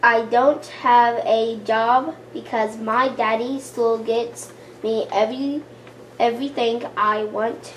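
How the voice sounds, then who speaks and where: Woman doing a pampered rich girl doll, very slow, slightly high, faint hiss. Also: fairly even intonation. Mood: airy. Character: spoiled rich girl doll in her twenties.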